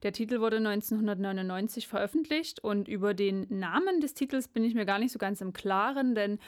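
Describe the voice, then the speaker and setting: A woman's voice: medium pitch, fairly quick, no echo, clean studio sound. A woman presenting a radio programme from a script. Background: loud guitar music.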